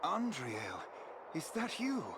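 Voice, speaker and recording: A man's voice: fairly high pitch, huge pitch swings, slow pace, background noise, exaggerated voice. A man playing a noble, courtly elf warrior in a fantasy game, speaking formally. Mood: courteous. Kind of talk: fantasy game cutscene acting.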